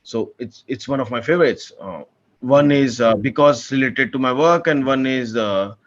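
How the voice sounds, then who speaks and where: A man's voice: mid-range voice, moderate pace, highs cut off. A young man from India, a PhD student chatting as a podcast guest in an earnest, enthusiastic way, often restarting his sentences. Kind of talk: casual conversational interview.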